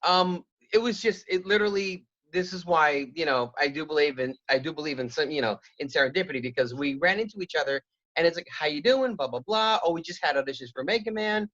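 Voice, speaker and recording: A man's voice: on the high side, fast talker, a bit bright, muffled recording. A man in a casual chat, telling an anecdote about his acting career in a reflective, eager way. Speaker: guest actor. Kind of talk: casual storytelling.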